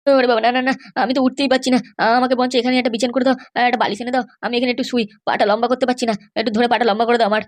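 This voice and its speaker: Man voicing a fast-talking, fretful older cartoon uncle. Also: extremely high pitch, level tone. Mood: pained, worried. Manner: complaining, emphatic. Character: injured, aggrieved older uncle, vengeful and fretful. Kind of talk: amateur comedy cartoon dubbing.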